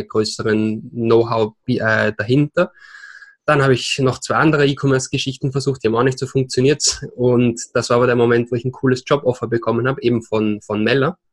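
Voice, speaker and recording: A man in his twenties from Vienna with an Austrian accent, a podcast guest who talks with many hesitations and mixes in English terms. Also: mid-range voice. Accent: Viennese Austrian German. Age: 20s. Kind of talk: podcast interview.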